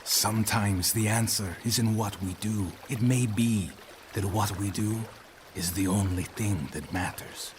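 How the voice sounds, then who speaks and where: An actor playing a blunt, earnest and protective retainer in an audio drama. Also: male voice, on the low side, unhurried, background noise, close to an everyday voice. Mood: solemn. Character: protective, blunt male retainer guarding a noblewoman. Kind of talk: full-cast audio drama acting.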